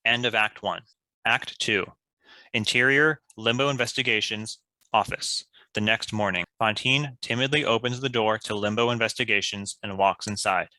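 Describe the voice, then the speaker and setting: Very bright, lowish-voiced male narrator, dry. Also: moderate pace, dry recording. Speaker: stage-direction narrator. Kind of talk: scripted narration for a table read.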